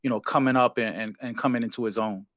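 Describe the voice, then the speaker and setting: A man hosting an interview, asking relaxed, prompting questions with stumbles and fillers. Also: medium pitch, fast talker, no echo, studio quality. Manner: relaxed, prompting, with repeated "you know" and stumbles. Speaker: host.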